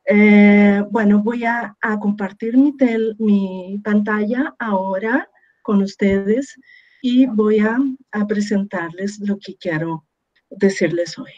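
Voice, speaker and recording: A woman's voice: mid-range voice, fairly even intonation, takes it slowly, a little mellow, highs cut off. Chilean woman with a Portuguese-tinged accent. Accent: Chilean Spanish with strong Brazilian Portuguese influence. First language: Spanish, long living in Brazil. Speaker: architect and webinar speaker. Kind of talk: presenting a talk on a video call.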